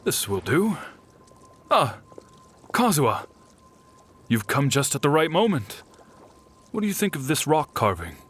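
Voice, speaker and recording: An actor playing a middle-aged clan head and father, weary and dutiful, in measured, reflective game dialogue. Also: fairly high pitch, big pitch swings, a bit bright, husky, full character voice. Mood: calm, pleased.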